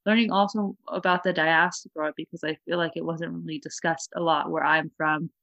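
A woman's voice: sits low, fairly even intonation, brisk, gravelly, a bit bright, no room sound, dull audio. A young woman from California talking in a casual, earnest way, with a lot of "like" fillers. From California, USA. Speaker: guest. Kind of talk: casual conversation.

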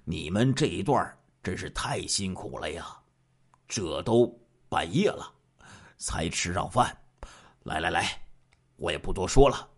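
A man's voice: lowish voice, husky, voice pushed down, exaggerated voice. A man voicing a hospitable, genial township chief in a story, coaxing in a colloquial way.

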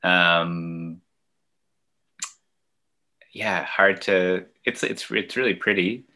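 Deep, slow-talking man with a bright tone. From Ontario, Canada. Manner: relaxed, hesitant, many fillers and restarts. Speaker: children's musician and outdoor educator. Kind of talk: casual interview answers.

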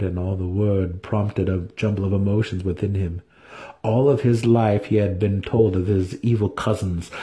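Male fantasy audiobook narrator; lowish, mellow voice for dramatic scripted storytelling.

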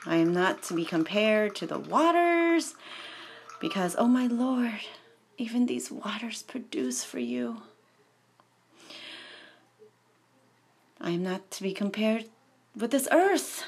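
A woman voicing a grieving childless wife lamenting in prayer, slow and hushed, with music behind. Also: mid-range voice, animated, slight background noise.

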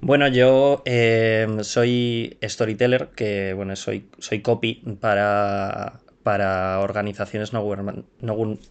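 A man's voice: fairly low pitch, fairly even intonation, takes it slowly, few pauses, clean tone. A man from Madrid answering interview questions in a thoughtful, hesitant way. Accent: Madrid Spanish. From Madrid, Spain. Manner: hesitant, many fillers and restarts, thoughtful. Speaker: guest, copywriter.

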